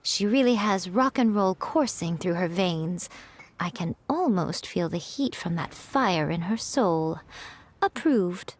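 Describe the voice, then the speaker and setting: A woman's voice: fairly low pitch, expressive, slow pace. A woman playing a sly, elegant fox-spirit shrine lady in a video game, composed and assured. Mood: pleased approval. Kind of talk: video game character acting.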